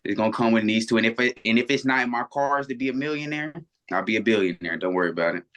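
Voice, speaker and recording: A man's voice: medium pitch, racing, fairly bright tone. A man in his twenties, a guest on a video call, talking earnestly and reflectively with many restarts and fillers. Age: 20s. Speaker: interview guest. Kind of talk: reflective casual conversation.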